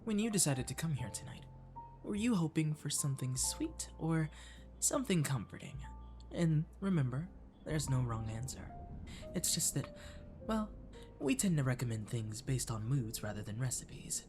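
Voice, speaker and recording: Guy doing a sweet flirty catboy waiter, soft asmr roleplay, gentle and attentive, music playing behind. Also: medium pitch, sing-song, moderate pace, close to an everyday voice. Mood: caring, playful. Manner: attentive, gently coaxing, lapsing into whispers. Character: energetic friendly catboy cafe waiter, courteous and flirty. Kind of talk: ASMR roleplay, addressing the listener. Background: clear background music.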